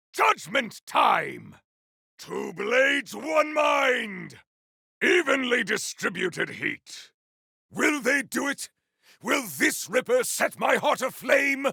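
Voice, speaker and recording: A bombastic video game warrior, pitched very high for a male voice and full of rasping grit. The delivery is grandiose and theatrical, swinging widely in pitch as battle cries ring out with grim relish. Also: pushed and bright, dry recording, studio quality, full character voice. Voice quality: gravelly, rough-edged. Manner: grandiose, theatrical, formal uncontracted speech. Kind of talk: video game combat barks.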